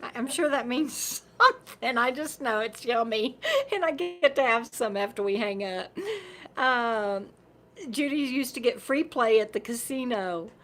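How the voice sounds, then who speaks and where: High-pitched woman, livestream host, rambling, chatty, laughing, going hoarse. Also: expressive, measured pace, fairly bright tone. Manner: rambling, chatty, laughs often, repeats words. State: losing her voice. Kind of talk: casual livestream chat reading viewer comments.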